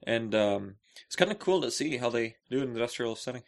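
A man co-hosting a podcast, bantering casually in slangy, goofy and excitable storytelling. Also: mid-range voice, brisk, creaky. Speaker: podcast co-host.

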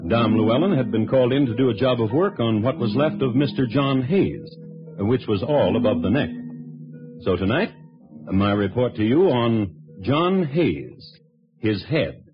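A macabre crime storyteller on old-time radio. He is low-voiced, wry and deliberate, savouring each detail in deadpan. The recording is muffled, with music behind. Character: droll, macabre male crime connoisseur and storyteller. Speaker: host and narrator.

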